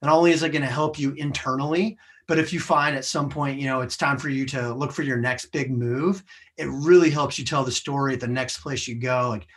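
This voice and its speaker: A man's voice: mid-range voice, fairly even intonation, a little fast, a bit bright. A man with an American accent speaking as a podcast guest in a conversational, explanatory way, often adding small checking tags. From United States. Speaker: podcast guest, sales professional. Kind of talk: conversational interview, explaining career lessons.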